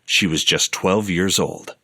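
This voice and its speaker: Deep-voiced male documentary narrator. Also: steady tone, measured pace, creaky, sharp tone. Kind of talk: scripted narration.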